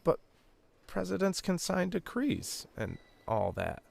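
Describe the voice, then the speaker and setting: An actor playing a naive twenty-year-old prison guard in a game cutscene, American, hesitant and deferential, prone to stammering. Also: male voice, sits high, huge pitch swings, slow pace, gravelly, a little mellow, full character voice. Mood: puzzled. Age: young adult. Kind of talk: dramatic game cutscene acting.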